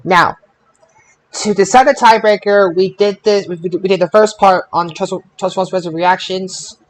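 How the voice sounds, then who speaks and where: A man hosting a casual podcast results show, announcing in a drawn-out way with repeated phrases. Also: high-pitched, steady tone, rough recording.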